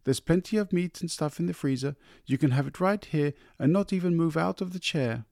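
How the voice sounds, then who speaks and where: A man voicing a devoted, anxious housewife who fusses softly and eagerly over her husband. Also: fairly high pitch, a little fast, vocal fry, no room sound, studio quality, voice pushed up. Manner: soft, eager, fussing.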